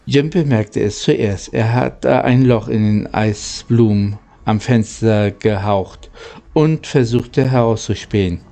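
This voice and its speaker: Slow-paced, mellow man reading aloud. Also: fairly low pitch, creaky. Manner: halting reading with occasional misreadings. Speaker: audiobook reader and channel host. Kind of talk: storybook narration.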